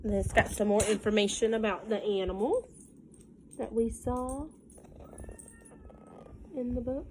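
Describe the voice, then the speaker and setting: Southern us lady teacher doing storytime, highish voice, sing-songy n folksy, bit of noise behind her. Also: unhurried, long pauses, low-quality recording. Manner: sing-song when reading, folksy and conversational in asides. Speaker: teacher reading aloud to children. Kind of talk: picture-book read-aloud with casual asides.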